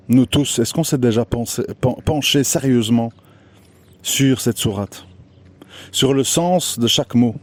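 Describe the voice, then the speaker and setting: Mellow-voiced man in a reflective monologue. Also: medium pitch. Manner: measured, searching for words with frequent "euh" and restarts; asks rhetorical questions to the listener. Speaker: online commentator. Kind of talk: personal monologue, reflective and preachy.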